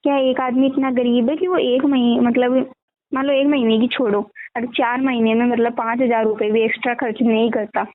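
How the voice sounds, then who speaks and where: A woman explaining a sales pitch on a phone call, often asking for agreement. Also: little pitch movement, fairly quick. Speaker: network marketing recruiter. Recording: tinny phone call recording.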